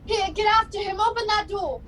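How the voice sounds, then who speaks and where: A woman's voice: very high-pitched, brisk, a bit bright, slight background noise. A woman playing a bossy, barking male police officer in a radio play, recorded over a home video call. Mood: urgent, shouting.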